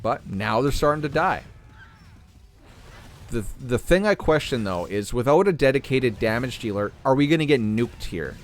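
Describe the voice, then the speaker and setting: Throat-clearing man talking through gameplay. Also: mid-range voice, moderate pace. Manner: relaxed, thinking aloud while playing. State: losing his voice, clearing throat. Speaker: gaming channel host. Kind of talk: casual gameplay commentary.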